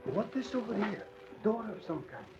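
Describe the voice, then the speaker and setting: Eager deferential valet, broken English, highish voice, radio play, lo-fi recording. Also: male voice, lively intonation, moderate pace, loud music behind the voice. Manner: broken English, clipped foreign-sounding phrasing. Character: loyal, eager male valet and sidekick. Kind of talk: old-time radio drama acting. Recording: muffled, faintly noisy old radio recording.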